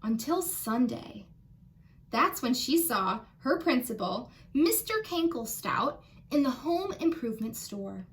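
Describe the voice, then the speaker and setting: An American woman, a children's librarian, reading a picture book aloud in an animated, expressive way and putting questions to her young listeners. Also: a little high, measured pace.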